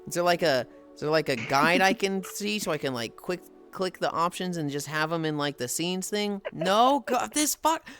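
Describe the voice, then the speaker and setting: A woman in her thirties chatting on a casual livestream, swearing freely and laughing through animated rants while she exercises. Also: fairly low pitch, moderate pace, few pauses, faint music behind. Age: 30s. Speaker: streamer.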